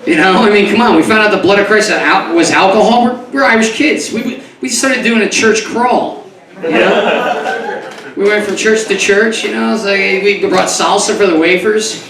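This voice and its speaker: A man from New York doing a stand-up comedy set, rambling and stumbling over his words, then breaking into loud comic outbursts. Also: sits high, normal speed. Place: comedy club stage before a live audience. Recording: echoey club recorded from a distance, crowd audible.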